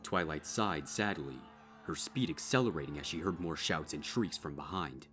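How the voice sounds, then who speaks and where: Casting: male storyteller with a profoundly deep voice for scripted horror narration over soft music. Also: normal speed. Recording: music behind.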